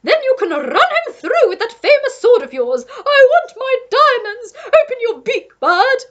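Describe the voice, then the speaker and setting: Brief: a woman playing a dramatic wailing grand duchess, shrill and swooping. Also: big pitch swings, a little slow, a bit bright, dull audio, exaggerated voice. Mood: demanding. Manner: shrieking, wailing, operatic. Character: shrieking massive older female Duchess, former opera singer.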